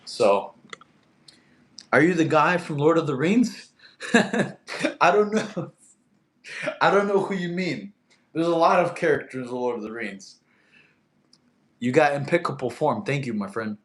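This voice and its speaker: Laid-back man in an echoey room. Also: medium pitch. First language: Russian. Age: 30s. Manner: laid-back, chatty, laughs often, talks to his cat. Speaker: livestream host. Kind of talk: casual live chat reading comments.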